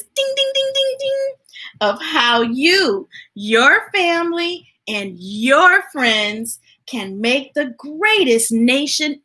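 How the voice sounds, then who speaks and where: Bright, high-voiced woman hosting children's storytime. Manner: informal, folksy, enthusiastic. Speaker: children's storytime host and reader. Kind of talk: reading picture books aloud with chatty asides to online viewers.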